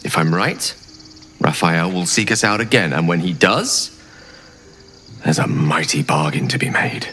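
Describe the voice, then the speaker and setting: A man's voice: low voice, unhurried, pauses often, sharp tone, long reverb tail, a little hiss or hum. A man playing a shrewd, scholarly wizard type in a fantasy video game, speaking with erudite, theatrical deliberation. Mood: shrewd deduction. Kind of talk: video game character dialogue.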